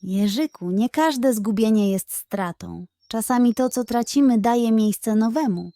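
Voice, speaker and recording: A woman as a slow, calm, wise owl. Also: fairly high pitch, dry recording. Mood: calm, soothing. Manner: calm, measured, philosophical. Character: wise, calm female owl, patient advisor. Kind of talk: children's bedtime storybook character.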